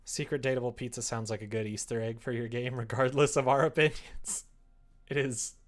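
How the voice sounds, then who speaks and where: A streamer chatting casually while working on a visual novel, drifting between conversation and quieter reading aloud. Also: medium pitch, fairly even intonation, a little fast. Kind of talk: casual livestream chat while writing, murmuring draft lines.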